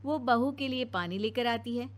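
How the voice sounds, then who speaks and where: A woman's voice: on the high side, moderate pace. A woman narrating a scripted cartoon story.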